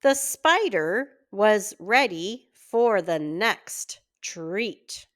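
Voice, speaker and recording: A woman reading a children's story aloud in deliberate, word-by-word phrases, as a reading teacher does for early readers. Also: medium pitch, lively intonation.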